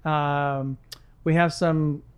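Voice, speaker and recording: A man's voice: a little high, little pitch movement, drawn-out words, clean tone. A man in his sixties from Lebanon, chatting casually as a guest with friendly, rambling talk and many restarts. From Middle East, Lebanon. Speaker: gym client and guest. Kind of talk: casual chat.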